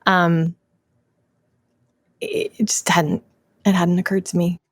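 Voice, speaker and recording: A woman with a lowish voice tells a reflective anecdote in unscripted speech. She repeats phrases for emphasis and corrects herself as she goes. The sound is close and dry. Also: steady tone, slow pace, slightly rough, dry recording. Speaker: theatre artist discussing a production.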